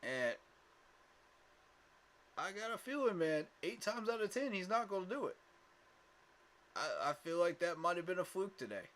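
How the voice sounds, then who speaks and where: A man's voice: high voice, very animated, moderate pace, pauses often, a bit bright. A man hosting a casual fan livestream, talking emphatically with lots of fillers and repeated words, tired from little sleep. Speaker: fan channel host.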